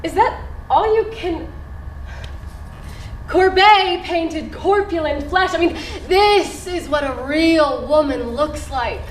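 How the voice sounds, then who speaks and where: A woman's voice: high-pitched, slow. An actress playing an urbane, indecisive, pretentious woman in her thirties in a stage play, with an intellectual, theatrical manner. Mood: haughty. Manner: theatrical, intellectual, sprinkles in French. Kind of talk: live stage play acting. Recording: echoey theatre stage recording, room noise.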